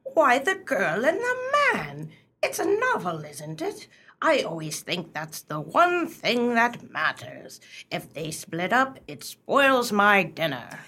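A woman's voice: mid-range voice, huge pitch swings, slow, bright, forceful tone, slightly rough, heavily acted. A woman acting in a radio play as an airy, cheerfully frank society lady with little interest in serious books. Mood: innocently curious.

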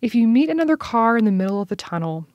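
Animated woman narrating a radio feature. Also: fairly high pitch, fast talker, highs cut off. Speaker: radio reporter.

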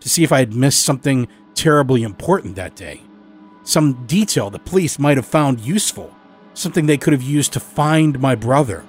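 A man's voice: medium pitch, expressive, moderate pace, voice pushed up, natural voice. Man acting an aggrieved, bitter middle-aged lawyer, music underneath. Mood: wounded, pleading. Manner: emotional, accusing, emphatic.

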